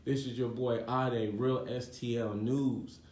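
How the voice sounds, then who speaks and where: A man's voice: medium pitch, steady tone, a little slow, a little mellow. A man hosting a community event in a casual, slangy, joking way. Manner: informal, slangy, joking with the room. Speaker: host, community news presenter. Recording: echoey room, distant mic, faint noise.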